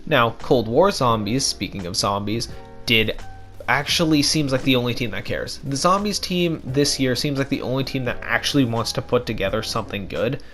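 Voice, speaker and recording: A young man talks through a scratchy, hoarse throat in a casual gaming commentary. His delivery is a frustrated, repetitive rant at a middling pitch. Soft background music plays beneath him. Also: normal speed.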